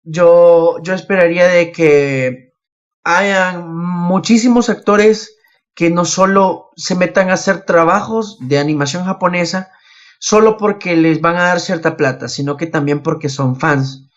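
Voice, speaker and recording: A man's voice: high voice, measured pace. A young man co-hosting a casual podcast chat about anime, recorded with dull, lo-fi sound. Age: young adult. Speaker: podcast co-host.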